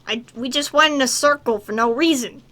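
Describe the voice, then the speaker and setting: A woman's voice: high voice, fairly quick, bright, forceful tone. A woman giving casual gameplay commentary, muttering exasperated asides to herself as she plays. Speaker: gaming video host.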